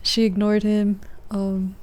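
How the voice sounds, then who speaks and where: A soft-spoken woman with a medium-pitched voice talks casually into her own podcast. She recounts her dreams in a gentle, unhurried monologue. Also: monotone. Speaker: podcast host.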